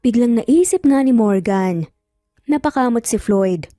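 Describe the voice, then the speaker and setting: Mellow, high-voiced Filipino woman storyteller. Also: lively intonation, a little slow, dry recording, clean studio sound, faint music behind. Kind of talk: scripted dramatic narration.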